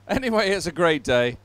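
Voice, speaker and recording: A man giving unscripted live sports commentary in a halting, wry, self-deprecating way. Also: a little high.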